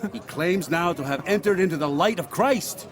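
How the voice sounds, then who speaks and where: An actor playing a gleeful, mocking young man gossiping in an audio drama, with an animated, jeering delivery. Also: high-pitched, normal speed, close to an everyday voice. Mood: mock pious. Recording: crowd chatter behind the voice.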